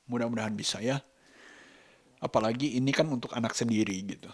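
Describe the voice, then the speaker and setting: A man with a colloquial Jakarta Indonesian accent talks reflectively and casually to camera as a vlogger, halting and thoughtful as he searches for words. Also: medium pitch, steady tone. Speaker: vlogger and parent.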